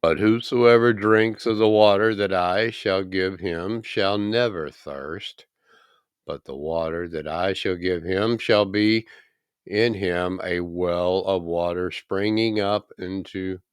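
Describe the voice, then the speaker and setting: Man reading as a deep, solemn holy teacher. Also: slow pace, smooth, no room sound. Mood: solemn, authoritative. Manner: solemn, declarative, weighty emphasis. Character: calm, authoritative male holy teacher from scripture.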